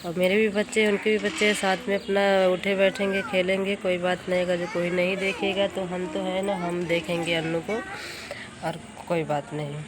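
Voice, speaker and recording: A woman talks in a lowish voice with very little rise and fall. She is reassuring and plain-spoken, in casual chat. Clear background noise sits behind her. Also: flat delivery, normal speed. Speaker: supportive older friend of the vlogger. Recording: noisy live room sound.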